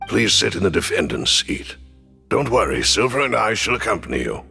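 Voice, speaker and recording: Casting: protective gentleman attendant in a video game, polite, creaky, very varied pitch, reverberant. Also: big pitch swings, exaggerated voice. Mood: politely reassuring. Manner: polite, measured, formal. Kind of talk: dramatic video game voice acting. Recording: reverberant hall, music underneath.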